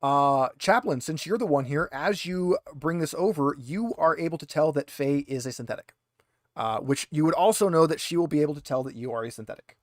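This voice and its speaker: A male game master narrates a tabletop session in a slightly high voice. He shifts between scripted description and casual, halting asides, recorded very dry and close. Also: expressive, dry recording.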